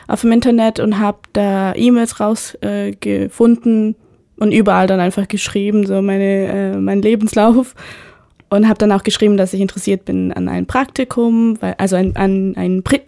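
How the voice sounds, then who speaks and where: A woman's voice: medium pitch, mellow, no echo. A woman in her twenties from Pakistan, a student guest on a radio interview in the studio, answering hesitantly with many fillers.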